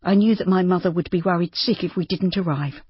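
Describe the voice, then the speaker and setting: Judy, a reader's high anxious young woman. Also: brisk, husky, highs cut off. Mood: anxious, polite.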